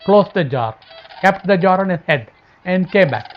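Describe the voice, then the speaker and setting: A woman with an Indian accent telling a folk tale in halting, repetitive, unscripted phrasing. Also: on the low side, lively intonation, muffled recording, faint music behind. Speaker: storyteller.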